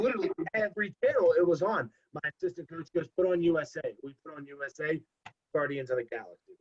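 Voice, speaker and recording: A young man in casual podcast talk, telling a long story with animated repeats for emphasis. His voice sounds congested. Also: a little high. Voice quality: husky, congested. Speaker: podcast guest. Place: home. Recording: dull lo-fi podcast audio.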